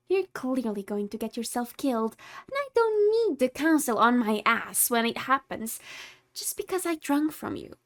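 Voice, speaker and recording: A woman's voice: on the high side, a bit bright. A woman playing a haughty, secretly caring tsundere vampire in a scripted ASMR roleplay, condescending and easily exasperated. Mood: dismissive. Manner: condescending, exasperated, flustered scolding with sighs.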